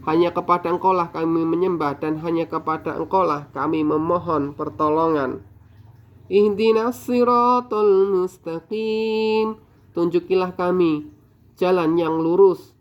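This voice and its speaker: Guy teaching scripture line by line, slow chanting then explaining, kinda muffled sound. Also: high voice. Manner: slow drawn-out recitation, then quick explaining; addresses viewers as friends. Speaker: religious teacher. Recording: dull lo-fi recording.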